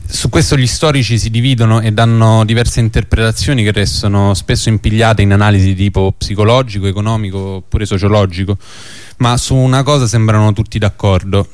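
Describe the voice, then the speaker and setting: Clear-voiced male radio host, dry sound. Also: fairly low pitch, fairly even intonation, fairly quick, a little mellow, no room sound. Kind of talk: radio presenting, partly scripted commentary. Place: radio studio.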